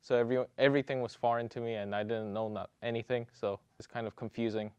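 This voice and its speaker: A man's voice: mid-range voice, fairly even intonation, quick pace, a little mellow, smooth, dry recording, highs cut off. A young man from South Korea answering interview questions with hesitant self-corrections.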